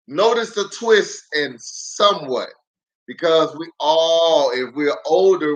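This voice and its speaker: A man with a slightly high-set voice hosts a storytime for children. He speaks very slowly, stretching out words for emphasis. His delivery is animated and chatty, addressed straight to the viewers. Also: rough recording. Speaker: pastor hosting a children's storytime. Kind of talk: livestream greeting and read-aloud storytelling.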